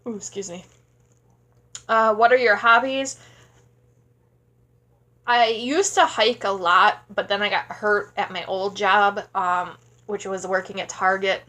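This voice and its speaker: A woman's voice: mid-range voice, steady tone, a little slow, long pauses, sharp tone. Chatty sleepy woman in her thirties. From Wisconsin. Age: 30s. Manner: rambling, chatty, many fillers. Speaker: vlogger. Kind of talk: casual Q&A vlog. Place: small home room indoors. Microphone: tablet camera at close range.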